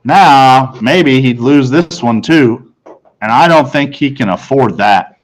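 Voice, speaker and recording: A man's voice: medium pitch, slow pace. Male teacher, mid-range voice, for read-aloud storybook narration.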